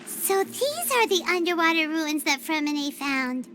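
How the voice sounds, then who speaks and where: A tiny fairy companion from a game, with an extremely high, squeaky little-girl voice. She swoops animatedly through her words at an unhurried pace, theatrical, boastful and cheerful, with loud music behind her. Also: big pitch swings, exaggerated voice. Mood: excited. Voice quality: squeaky, childlike. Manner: refers to self in third person, theatrical. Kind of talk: animated video game voice acting.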